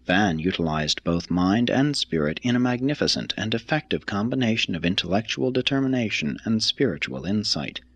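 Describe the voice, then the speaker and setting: Deep-pitched, expressive male audiobook narrator. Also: breathy edge, no room sound. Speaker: audiobook reader. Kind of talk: scripted narration of a religious text.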